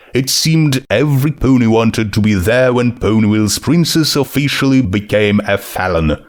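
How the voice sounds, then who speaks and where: A man narrating scripted fiction in a measured, dramatic storytelling manner. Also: lowish voice.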